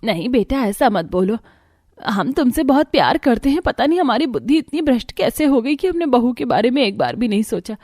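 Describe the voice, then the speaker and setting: A woman acts out a remorseful older mother in a family cartoon. She pleads in a high, mellow voice, recorded close and dry over faint music. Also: sing-song, fairly quick, dry recording. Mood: pleading, remorseful. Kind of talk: Hindi moral-story cartoon dubbing.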